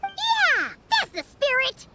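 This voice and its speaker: Super squeaky little fairy sidekick, bouncy pitch, game voice, noisy behind. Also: female voice, wildly swooping pitch, slow, heavily acted. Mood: chirpy, supportive. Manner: chirpy, squeaky, refers to self in third person. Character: tiny chirpy floating fairy companion, childlike, supportive. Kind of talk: video game voice acting.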